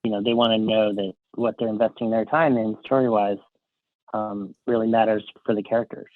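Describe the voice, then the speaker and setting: A man's voice: fairly low pitch, flat delivery, brisk, no echo, phone-quality sound. A man speaking casually as a writer guest on a podcast, often interrupting himself and joking.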